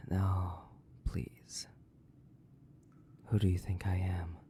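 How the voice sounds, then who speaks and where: A man playing a centuries-old, sadistic vampire in a theatrical horror audio roleplay, condescending and drawling, with a gravelly, breathy voice that slips into whispers. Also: low voice, little pitch movement, drawn-out words, mellow, a little hiss or hum, exaggerated voice. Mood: amused, incredulous. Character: sadistic, centuries-old imprisoned male vampire, mocking and bored. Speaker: voice actor.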